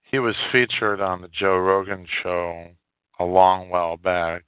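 A man hosting a solo podcast, giving unscripted political commentary in a halting way and repeating phrases for emphasis. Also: low-pitched, steady tone, clear, resonant tone, no room sound. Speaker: podcast host. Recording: muffled, tinny phone-like sound.

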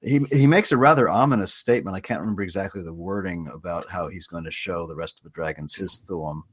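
A man with a slightly husky voice on a podcast panel, casually recounting video game events in a halting way. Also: low-pitched. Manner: halting, piecing events together.

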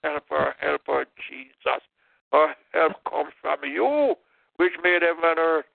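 A man's voice: fairly high pitch, measured pace, gravelly, dry recording. A man leading a fervent intercessory prayer, impassioned, repeating "oh God" and "in the name of Jesus". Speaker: prayer leader. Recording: tinny phone-line prayer call.

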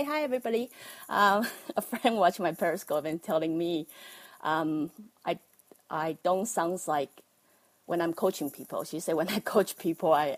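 A woman from Taiwan with a Taiwanese accent, talking casually on a livestream, halting with many ums and nervous laughs. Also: fairly low pitch. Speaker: livestream host, life coach.

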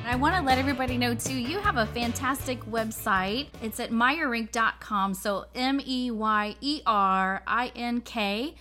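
A woman's voice: on the high side, unhurried, few pauses, music in the background. A woman hosting a radio show, presenting promotions and interviewing in a conversational way.

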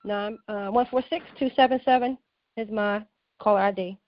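A woman calling in to a radio talk show, earnest and measured as she gives advice and plugs her show. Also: medium pitch, steady tone. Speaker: caller and fellow radio host. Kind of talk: call-in radio chat. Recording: dry, dull lo-fi call-in line.

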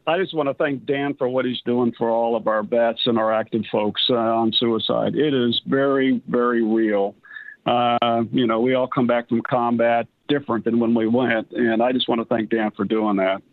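A man's voice: medium pitch, fairly even intonation, moderate pace, dry recording. An American man in his sixties, a retired general, giving earnest, hedging conversational answers as an interview guest. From United States, raised on military bases. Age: 60s. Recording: slightly muffled, lo-fi radio line.

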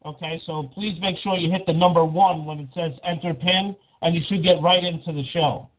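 Halting man on a phone-like line. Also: on the high side, fairly even intonation. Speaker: co-host of a call-in show. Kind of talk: casual chat. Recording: phone-like, band-limited call audio.

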